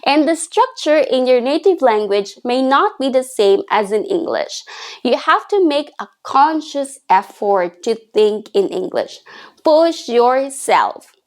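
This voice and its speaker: A woman teaching English in a video lesson, speaking in a clear, encouraging, instructive way. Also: high-pitched, big pitch swings, slow pace.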